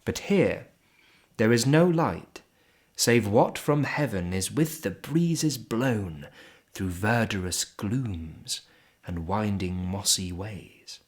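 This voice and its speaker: A man giving a solemn, expressive poetry recitation in measured phrases with pauses between them. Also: lowish voice, breathy edge. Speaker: poetry reader.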